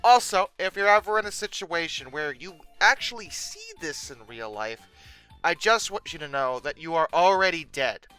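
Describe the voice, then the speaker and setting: A video host giving comedic commentary on pictures with theatrical mock exasperation, swinging between deadpan asides and outbursts. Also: mid-range voice, moderate pace, smooth, soft background music, rough recording.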